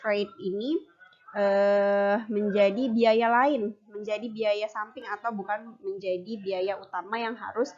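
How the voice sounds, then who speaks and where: A woman's voice: medium pitch, steady tone, measured pace, a little mellow. A young adult woman giving an explanatory class presentation as a university student. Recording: dull lo-fi recording.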